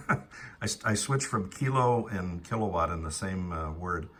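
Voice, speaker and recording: American male ham operator, very low but crisp-edged bright voice, halting casual radio chat. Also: animated.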